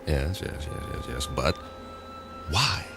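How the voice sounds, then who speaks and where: An actor playing a steady, puzzled space crew leader in an audio drama, measured and questioning. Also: male voice, rumbling low, over loud music, full character voice. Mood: pondering.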